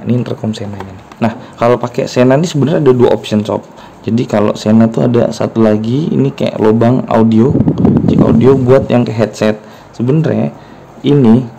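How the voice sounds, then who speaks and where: Man, gravelly creak, mid pitch, casual gear demo, conversational, faint ambient noise. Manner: informal, conversational. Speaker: vlogger demonstrating gear. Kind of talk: casual hands-on demonstration.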